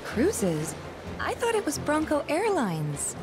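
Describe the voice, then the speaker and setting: An actress playing a friendly, teasing young companion in a video game. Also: female voice, high voice, wildly swooping pitch, slow pace, full character voice. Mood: curious, light. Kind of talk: video game cutscene acting. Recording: loud music behind.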